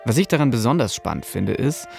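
A man's voice: medium pitch, sing-song, fairly quick, creaky, a little mellow, soft background music. A man narrating a scripted podcast as host, in a casual, personal storytelling manner.

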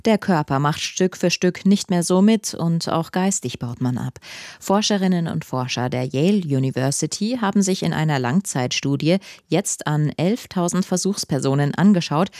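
A woman's voice: fairly low pitch, warm tone, no room sound, clean studio sound. A woman presenting on radio, reading a scripted introduction.

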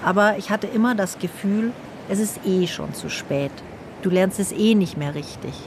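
A woman narrating a radio feature in a calm, personal, questioning way. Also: mid-range voice, normal speed, noisy background. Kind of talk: scripted reflective narration. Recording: studio narration over music.

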